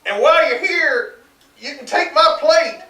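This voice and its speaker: A man voicing a loud, demanding, exasperated husband and football fan in a story read live. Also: extremely high pitch, animated, unhurried, voice pushed up, full character voice. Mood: impatient. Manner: yelling, exasperated. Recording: distant roomy live reading, faint noise.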